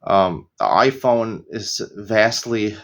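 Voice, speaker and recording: A middle-aged American man teaching a casual daily Talmud lesson, rambling into opinionated asides and often clearing his throat. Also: fairly low pitch, slow pace. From United States. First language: English.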